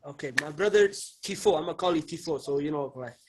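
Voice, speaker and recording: A man's voice: a little high, fast talker, darkish tone. A young man hosting a casual podcast, talking in a laid-back way and filling his speech with bro and you know. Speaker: podcast host.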